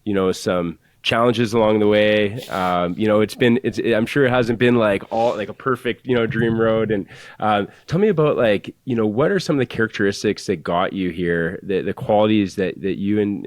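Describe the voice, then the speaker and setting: Casting: male podcast host, low voice, dry close recording, loose rambling questions. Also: moderate pace, dry recording. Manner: rambling, hesitant questions full of fillers. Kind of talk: casual interview hosting.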